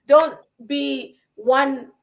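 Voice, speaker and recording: A woman with a high voice, speaking in a casual podcast conversation. She is emphatic, circling back and repeating short phrases to press her point, with many restarts along the way. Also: fairly even intonation, extremely slow, gravelly. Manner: frequent restarts and fillers.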